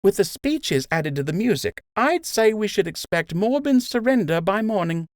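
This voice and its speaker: Man voicing a high-pitched, wry young female rabbit healer. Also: very animated, normal speed, no room sound, clean studio sound. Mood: playful sarcasm. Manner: wry, sarcastic, reassuring.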